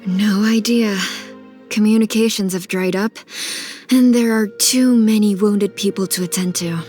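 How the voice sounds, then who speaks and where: A woman's voice: mid-range voice, steady tone, a little slow, dark tone, faint music behind, close to an everyday voice. A woman voicing a proud, resolute mother tending the wounded in a video game, earnest and firm. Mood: steady, proud.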